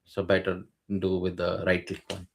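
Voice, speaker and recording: Man, lower register, hesitant conversational explainer. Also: monotone, moderate pace, creaky, a crowd in the background. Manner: hesitant, many fillers and restarts. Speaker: guest sharing exam experience.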